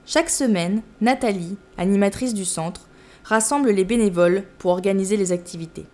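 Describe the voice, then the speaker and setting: A woman's voice: mid-range voice, fairly quick, darkish tone. A woman reading scripted narration for an informational documentary.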